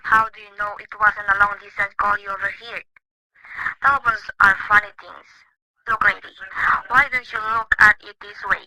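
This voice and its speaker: An actor playing a weary, patient desk police sergeant who talks in a calm, placating, slightly patronizing way in an amateur radio play. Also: male voice, high-pitched, normal speed, poor audio.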